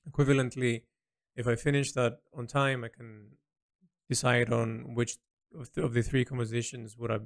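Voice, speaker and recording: A man recording a reflective spoken diary, speaking earnestly and haltingly as he searches for his words. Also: mid-range voice, level tone, gravelly. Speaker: artist keeping an audio log.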